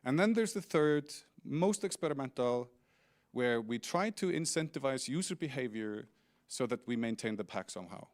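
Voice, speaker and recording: A man's voice: mid-range voice, animated, normal speed. A middle-aged man with an Icelandic accent gives a conference presentation as a company founder, explaining things with light humor and small restarts. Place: large indoor conference stage.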